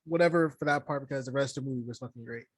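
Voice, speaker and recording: A man's voice: mid-range voice, rapid-fire, no echo. Blunt, profane man, dry close sound. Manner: blunt, profane, slangy griping. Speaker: podcast co-host reviewing a film. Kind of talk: casual chat.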